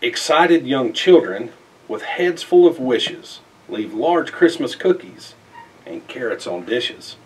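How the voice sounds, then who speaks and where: A middle-aged man reads a rhyming children's picture book aloud in an expressive, theatrical way with emphatic phrasing. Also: medium pitch, slow pace, husky, distant mic, low-quality recording. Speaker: storybook reader. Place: indoors in front of a festive backdrop.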